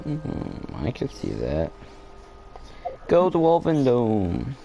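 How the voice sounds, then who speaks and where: A man giving casual let's play commentary, offhand and halting as he thinks aloud while gaming. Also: mid-range voice, huge pitch swings, vocal fry, warm tone, a little hiss or hum. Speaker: gaming video host.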